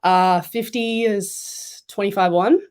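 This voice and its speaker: A woman's voice: medium pitch, slow pace. A young woman from Thailand and Malaysia, chatting casually as a guest in an interview, with lots of fillers. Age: young adult. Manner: chatty, relaxed, many fillers and "like"s. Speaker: guest swimmer.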